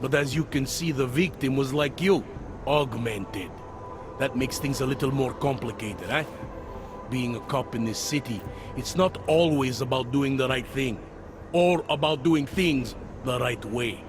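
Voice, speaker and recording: An actor voicing a world-weary, pragmatic police detective in a video game, with a wry, insinuating manner. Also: male voice, mid-range voice, unhurried, noisy background, close to an everyday voice. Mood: wry, cynical. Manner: wry, insinuating, tags questions with "eh" and "huh". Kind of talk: video game cutscene dialogue.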